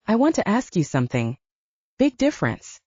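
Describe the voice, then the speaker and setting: Earnest young man proposing, read by a woman narrator; husky, lifted voice, lo-fi audiobook. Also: mid-range voice, wildly swooping pitch, moderate pace, warm tone, no echo, pitched above the performer's own voice.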